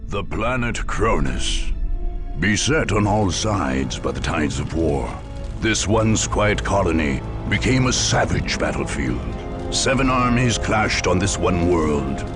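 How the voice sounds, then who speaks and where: A man's voice: low-pitched, very animated, slow pace, exaggerated voice. A man performing as a solemn, grave chronicler of war, narrating a game cutscene in a portentous, dramatic manner. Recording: loud music behind the narration.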